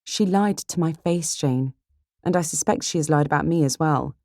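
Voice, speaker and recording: A woman voicing a witty, candid, level-headed young Regency heroine in an audiobook, poised and measured. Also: low voice, dark tone, no room sound, clean studio sound, voice pushed up.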